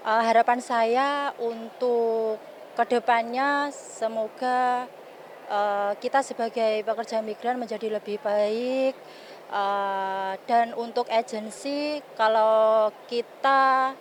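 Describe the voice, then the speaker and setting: Slow-speaking woman, careful. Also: a little high, steady tone, slight background noise. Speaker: domestic worker. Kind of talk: street interview answers.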